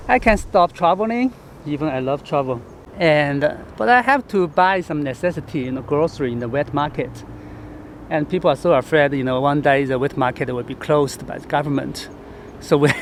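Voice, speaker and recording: A man from Chengdu with a Chinese accent, a travel vlogger talking casually to camera outdoors, halting and full of fillers. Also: on the high side, a little hiss or hum. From Chengdu, China. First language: Sichuanese. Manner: chatty, halting, with frequent fillers and laughs. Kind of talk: casual vlog talk to camera. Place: outdoors in the city.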